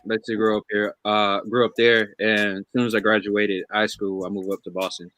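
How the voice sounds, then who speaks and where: Hesitant low-voiced guest musician. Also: male voice, flat delivery, brisk, a bit bright, soft background music. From North Carolina, USA. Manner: hesitant, fills speech with "like". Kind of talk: casual chat.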